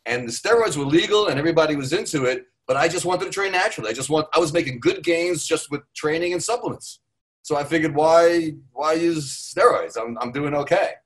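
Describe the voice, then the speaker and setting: A man's voice: fairly high pitch, fairly quick. An older American man talking as a guest on a podcast over a remote call, rambling with many fillers and restarts. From United States. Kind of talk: casual podcast chat. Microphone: computer mic on a remote call.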